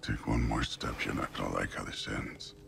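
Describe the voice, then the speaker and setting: An actor playing a huge, menacing warrior god in a video game, gruff, terse and gravelly. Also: male voice, steady tone, full character voice. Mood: threatening. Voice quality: gravelly rumble. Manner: terse, slow threats. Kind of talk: video game character acting.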